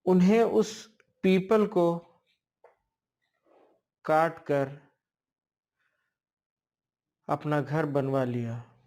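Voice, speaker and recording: Male horror narrator, slightly higher-pitched, very slow storytelling with halting phrasing. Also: steady tone, darkish tone. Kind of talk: slow spoken ghost storytelling.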